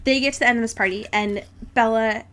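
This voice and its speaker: A young adult woman co-hosting a podcast, recapping a book in a rambling, animated, comedic chat with theatrical asides. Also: fairly high pitch, fast, a little hiss or hum. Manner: animated, rambling, theatrical retelling with mock quotes and frequent 'like'. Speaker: podcast co-host.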